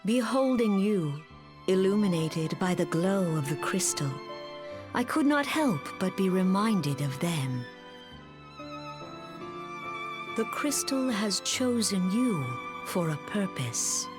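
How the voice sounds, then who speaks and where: Slow, solemn woman mystic leader. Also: medium pitch, big pitch swings, over music. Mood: gentle, assured. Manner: measured, formal, archaic diction. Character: serene, solemn female mystic leader, wise and grave. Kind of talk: scripted fantasy game cutscene acting.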